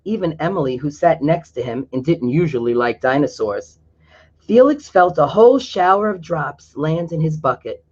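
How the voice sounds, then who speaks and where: A man's voice: a little high, a little mellow. Man reading a picture book to kids. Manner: pauses to explain to young listeners. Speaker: storyteller. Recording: dull lo-fi recording.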